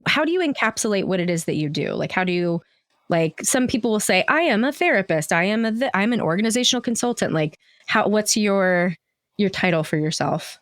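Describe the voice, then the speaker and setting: A woman's voice: mid-range voice, expressive, a little fast, no echo, clean studio sound. A woman hosting a podcast, chatting casually and informally in an effusive way. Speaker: podcast host. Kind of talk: casual warm interview chat.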